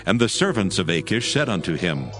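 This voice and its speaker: A male narrator with a lowish voice delivers scripted scripture storytelling. His tone is solemn but varies widely in pitch. Music plays clearly behind him. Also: very animated, a little fast.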